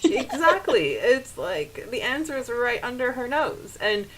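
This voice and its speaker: A woman co-hosting a podcast, chatting casually in a giggly, animated way as she discusses a story and reads out bits of it. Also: fairly high pitch, unhurried, low-quality recording. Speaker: podcast co-host. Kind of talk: casual fan discussion with read-out quotes. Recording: hissy background noise.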